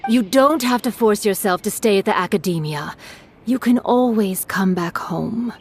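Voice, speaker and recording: An actress playing a gentle, concerned middle-aged mother who coaxes her son softly. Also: mid-range voice, moderate pace, slight background noise, close to an everyday voice. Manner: soft, coaxing and concerned.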